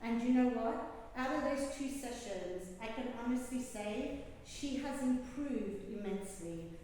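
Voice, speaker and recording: A woman's voice: on the high side, unhurried, slight background noise. Woman coach speaking in an echoey hall. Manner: lively storytelling, acts out her own coaching instructions. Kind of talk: prepared speech to a club audience. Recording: echoey hall, distant mic, faint ambience.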